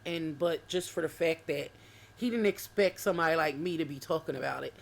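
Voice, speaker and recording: A woman's voice: sits low, brisk, creaky. A woman in her forties co-hosting a casual chat, speaking earnestly and quoting past conversations. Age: 40s. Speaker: co-host.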